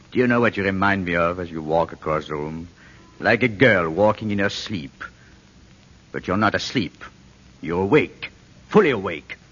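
An actor playing a menacing, controlling husband who taunts and interrogates in a deliberate 1940s radio drama style. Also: male voice, low-pitched, lively intonation, fairly bright tone, husky, exaggerated voice. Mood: gloating. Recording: muffled old radio recording.

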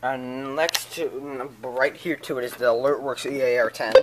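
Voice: mid-range voice, fairly even intonation, moderate pace, noisy background. A person hosting a home video in a casual show-and-tell style, recorded on a handheld camera in a small room. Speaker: amateur video host showing a radio collection. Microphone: handheld camera close to the speaker.

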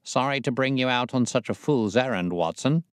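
A man's voice: medium pitch, normal speed, smooth, no echo, clean studio sound, voice pushed up, lightly acted. A man voicing a shrewd, self-assured detective in an audiobook, reasoning in a formal, incisive manner. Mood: analytical. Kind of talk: audiobook character voice.

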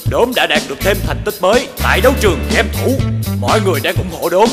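An excited TV reporter in a cartoon, rattling off news and questions in a quick newscaster delivery. Music plays clearly behind him. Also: male voice, high-pitched. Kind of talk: cartoon voice acting. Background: background music.

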